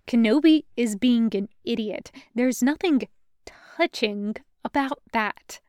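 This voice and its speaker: A woman reading fan fiction aloud as an expressive storyteller. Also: fairly high pitch, slow, clean tone, dry recording. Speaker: fan fiction podcast reader.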